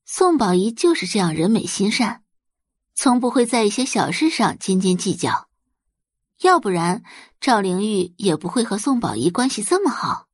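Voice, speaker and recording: A woman's voice: mid-range voice, very animated, normal speed, breathy edge, no echo, studio quality. A woman narrating a web novel as a dramatized audiobook, telling the story expressively.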